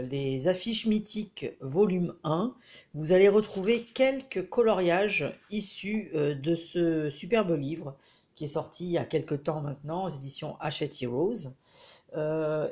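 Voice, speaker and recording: A man with a head cold giving a casual, hesitant review of a book as he leafs through it, pausing often. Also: sits high, a little mellow. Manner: hesitant, frequent filler pauses.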